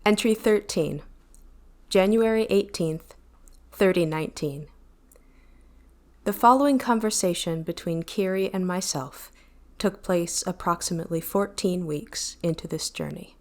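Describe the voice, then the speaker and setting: Low-voiced, wistful starship mind. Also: female voice, unhurried, a little mellow, a little hiss or hum. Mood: measured. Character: thoughtful, gentle female starship mind narrating its records. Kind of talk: audio drama narration and dialogue. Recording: music behind the voice.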